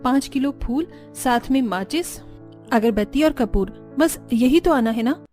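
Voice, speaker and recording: A woman's voice: high-pitched, expressive, a little slow, mellow, faint music behind. A woman voicing a sensible, obedient young daughter-in-law in a cartoon, dutiful and practical. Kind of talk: Hindi cartoon voice acting.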